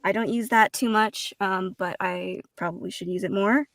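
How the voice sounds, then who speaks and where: A woman's voice: medium pitch, fairly even intonation, moderate pace, fairly bright tone, no room sound. A woman speaking as a voice actor guest in a casual roundtable chat, hesitant and a little self-deprecating.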